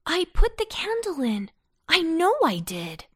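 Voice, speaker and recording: A young storybook boy acted by a woman, high-pitched and earnest. He is a bossy big brother who exclaims with indignation, his pitch leaping about. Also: wildly swooping pitch, takes it slowly, a bit bright, dry recording, studio quality, exaggerated voice. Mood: dismayed. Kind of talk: children's storybook character voice.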